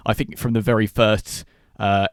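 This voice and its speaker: A man's voice: fairly low pitch, flat delivery, moderate pace, no echo, clean studio sound. A man hosting a podcast, giving his take in a conversational film discussion. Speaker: podcast host.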